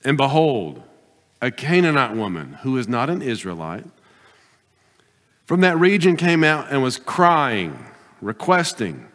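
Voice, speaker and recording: A man preaching a sermon as pastor, pressing his points with rising bursts and then dropping to quiet, deliberate asides. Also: mid-range voice. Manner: emphatic preaching, swings between loud exhortation and quiet asides. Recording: long hall reverb.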